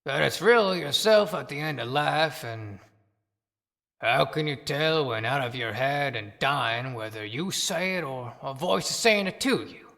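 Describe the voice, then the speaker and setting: A man as a haunted barber in deep reverb. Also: expressive, a little slow, vocal fry, sharp tone, heavily acted. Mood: brooding, eerie. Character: musing, haunted dead town barber. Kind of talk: theatrical audio play monologue. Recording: long reverberant echo.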